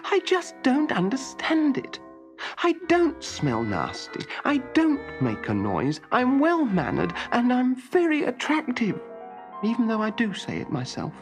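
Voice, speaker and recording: A man's voice: very high voice, huge pitch swings, measured pace, soft background music, heavily acted. A man voicing a wistful, polite and slightly vain little male slug in a storybook, plaintive and earnest. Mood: forlorn, then self-satisfied.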